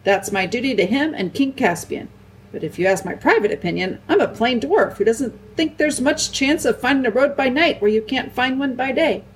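A woman's voice: fairly high pitch, animated, moderate pace, a little hiss or hum, voice pushed up, natural voice, low-quality recording. A woman voicing a blunt, skeptical male dwarf soldier who grumbles in plain words. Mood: grumbling.

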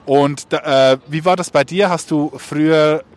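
A man conducting spontaneous street interviews, asking questions in a halting, informal way. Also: on the high side, fairly even intonation. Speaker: podcast interviewer. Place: outdoors on an urban street. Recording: street noise, traffic around.

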